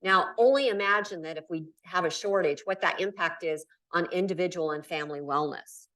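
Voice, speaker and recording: A woman's voice: on the low side, brisk, fairly bright tone. A middle-aged woman from Nebraska giving an emphatic conference talk in a lecture hall, stressing key words in repeated lists. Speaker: keynote speaker. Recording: voice from far off the mic.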